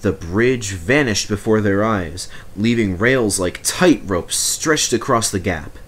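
A man reading a children's story aloud in expressive, scripted narration. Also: on the low side, slow. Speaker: storybook reader.

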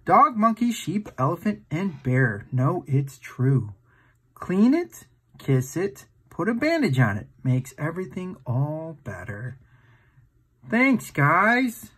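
A man's voice: on the high side, huge pitch swings, takes it slowly, fairly bright tone, slightly rough. A man hosting a children's storytime, reading a picture book aloud in a playful, exaggerated way and putting questions to the listener.

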